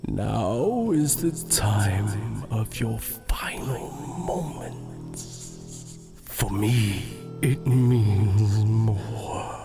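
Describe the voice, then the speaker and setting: An actor playing a sinister, seductive Dark Lord spirit in an audio drama, speaking in a breathy, menacing, drawn-out whisper. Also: male voice, on the low side, lively intonation, music in the background, exaggerated voice. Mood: sinister, knowing.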